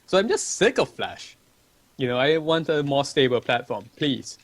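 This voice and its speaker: A man's voice: mid-range voice, animated, normal speed, dry recording. Chatty young man answering viewers. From Malaysia. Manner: chatty and rambling, reads chat questions aloud. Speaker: livestream host. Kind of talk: casual stream chat, answering viewer questions.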